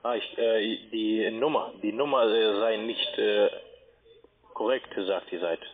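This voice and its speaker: A man's voice: on the low side, fairly even intonation, slow pace. A man working as a call centre agent, instructing a customer step by step over the phone. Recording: phone line with busy call-centre chatter.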